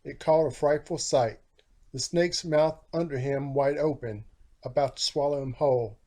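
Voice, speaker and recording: A man reading a children's storybook aloud in a theatrical, animated style with rhyming phrasing. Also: mid-range voice, moderate pace. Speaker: storybook narrator, author.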